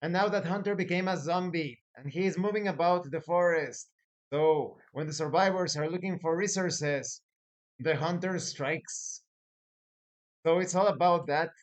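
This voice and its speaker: A man hosting a tabletop gaming advice video as a game master, speaking in a deliberate, emphatic way with dramatic lists. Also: on the high side. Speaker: host, professional game master.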